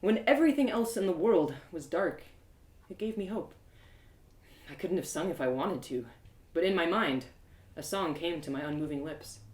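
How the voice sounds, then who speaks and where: A woman reading a story live in an expressive, theatrical way, as host and narrator. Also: lowish voice, normal speed, long pauses. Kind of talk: animated first-person storybook narration. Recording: echoey room.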